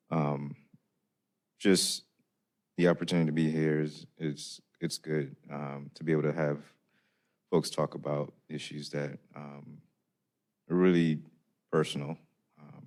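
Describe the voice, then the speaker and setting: A man in his thirties, a community advocate on a panel, speaking thoughtfully with frequent pauses and ums. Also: very deep voice, a little mellow. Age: 30s. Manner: thoughtful, hesitant with many ums. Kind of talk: panel discussion.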